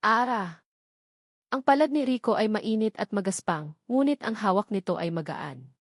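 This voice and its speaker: A woman narrating a scripted love story in a measured, descriptive storytelling manner. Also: medium pitch, animated, darkish tone, dry recording, studio quality.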